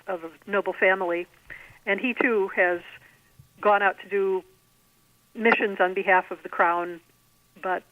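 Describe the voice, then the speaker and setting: A woman's voice: mid-range voice, no room sound. A middle-aged woman, an author giving articulate, bookish, discursive answers in an interview. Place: remote call into a podcast. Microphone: telephone. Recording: narrow tinny phone line.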